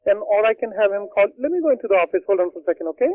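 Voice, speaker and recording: A man with a high voice and answers a restaurant's phone. He is apologetic and flustered, repeating reassurances, and the line is dry and close. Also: animated, very fast, dry recording, phone-quality sound. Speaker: restaurant employee. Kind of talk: customer phone call.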